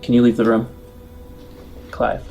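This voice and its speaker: A man's voice: medium pitch, completely flat delivery, measured pace, vocal fry, dark tone, highs cut off. Man narrating a tabletop game over music. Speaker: game master.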